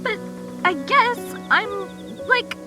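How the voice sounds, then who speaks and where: A woman's voice: extremely high pitch, lively intonation, very slow, heavily acted. A woman playing a sassy, lovesick young ex-cultist in a visual novel game, with slangy, dramatic phrasing. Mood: wistful, dejected. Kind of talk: animated visual novel acting. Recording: game audio with music behind.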